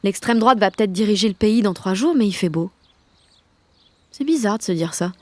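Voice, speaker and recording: A woman's voice: mid-range voice, expressive, moderate pace, warm tone, dry recording, lightly acted. A woman playing a rebellious, sardonic sixteen-year-old schoolgirl who tells her story in blunt, swearing slang in an audio drama. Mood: wry. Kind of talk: first-person audio drama narration in slangy speech.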